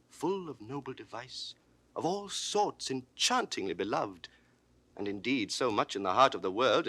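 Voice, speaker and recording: An actor playing a scheming, envious young gentleman in a classic Shakespeare audio drama, theatrical and emphatic, as if confiding a plot. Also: medium pitch, dry recording, full character voice. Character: scheming, envious young gentleman plotting against his brother.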